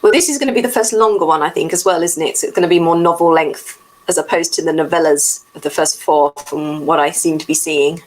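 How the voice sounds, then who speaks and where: A woman with a lowish voice takes part in a relaxed, informal book discussion. She speaks conversationally as a co-host of the read-along. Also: fast, few pauses, rough recording.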